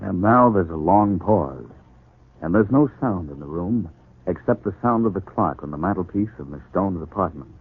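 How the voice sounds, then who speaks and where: A man announcing and narrating in formal, deliberate 1940s radio style. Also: sits low, expressive, slightly rough. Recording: tinny, echoey 1940s radio recording.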